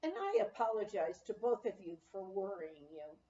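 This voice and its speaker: A woman's voice: mid-range voice, big pitch swings, moderate pace, warm tone, close to an everyday voice. A woman voicing a plain-spoken, easygoing small-town male sheriff in a storybook reading. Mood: reassuring. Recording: echoey room, slightly dull audio.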